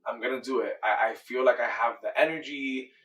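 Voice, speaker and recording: A man's voice: medium pitch, fairly even intonation, fairly quick, fairly bright tone, far from the mic, rough recording. A man in his thirties from Miami, a dancer and choreographer, answering interview questions in an earnest, enthusiastic way and often repeating himself. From Miami, Florida, USA. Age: 30s.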